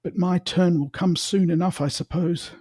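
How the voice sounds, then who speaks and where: A forlorn little hobbit played by a male reader laments in a high, agitated voice. The storybook delivery is theatrical and gloomy, with a soft, mellow edge and a slight huskiness. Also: steady tone, moderate pace, breathy edge, dry recording, studio quality. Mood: gloomy resignation. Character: gloomy, anxious, forlorn young hobbit man. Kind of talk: dramatic audiobook reading of fantasy.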